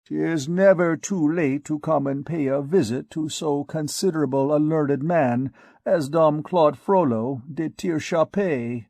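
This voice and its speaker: A man's voice: on the high side, unhurried, warm tone, no echo, voice pushed up. A man voicing a crafty, harsh royal physician of about fifty who grumbles and pities himself. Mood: flattering. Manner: grumbling, self-pitying. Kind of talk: audiobook dialogue.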